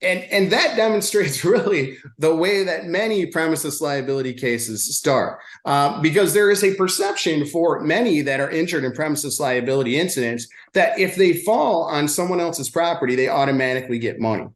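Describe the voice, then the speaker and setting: A man's voice: on the high side, lively intonation, normal speed, hardly any pauses. An American man speaking as an attorney giving a seminar, emphatic and repeating his key phrases to make a point. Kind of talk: seminar presentation with acted-out phone-call examples. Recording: echoey room sound.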